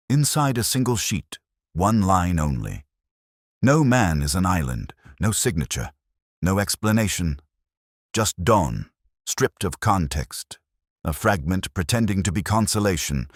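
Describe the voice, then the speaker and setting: Very deep, brooding detective played by a man. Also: no echo, clean studio sound, exaggerated voice. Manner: measured, literary, brooding. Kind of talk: scripted first-person mystery narration.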